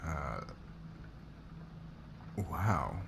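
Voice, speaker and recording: A man acting a demanding, curt fashion boss who gets flustered by attraction, in an ASMR roleplay with clipped orders and hesitant pauses. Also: rumbling low, sing-song, creaky, background noise, heavily acted. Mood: flustered, businesslike.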